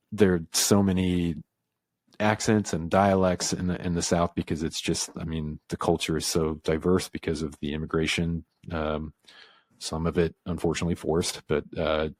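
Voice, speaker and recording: A man from the American South, a podcast guest, talking casually and reflectively with lots of pauses and fillers. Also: sits low, dry recording, clean studio sound. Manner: reflective, halting, filler-heavy. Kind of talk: casual conversation.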